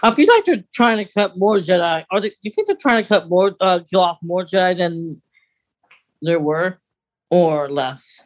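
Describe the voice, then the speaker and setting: A podcast co-host with a medium-pitched voice chats in an informal way. The delivery is conversational and speculative, as if thinking aloud about lore. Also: animated, normal speed. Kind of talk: casual chat about Star Wars lore.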